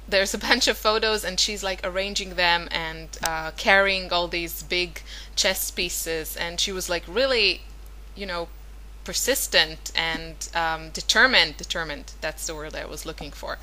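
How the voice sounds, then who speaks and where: Woman, 30s, native Hebrew speaker; casual rambling crafting narration with a bright, slightly low voice. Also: fairly even intonation, unhurried, hardly any pauses, a little hiss or hum, low-quality recording. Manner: chatty, rambling, many fillers and self-corrections. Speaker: scrapbooking vlogger.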